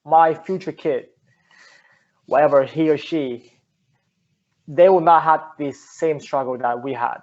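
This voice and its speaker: A man's voice: a little high, a little slow, pauses often, a little mellow, dull audio. A young man from Finland, a native Finnish speaker, talking earnestly as an interview guest, often repeating words and restarting. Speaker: guest entrepreneur. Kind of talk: casual interview talk.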